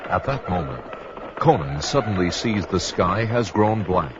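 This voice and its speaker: A man's voice: low voice, very animated, unhurried. A man as a grave storyteller, giving dramatic scripted narration of a sword-and-sorcery audio drama in a portentous manner. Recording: dull lo-fi, loud background noise.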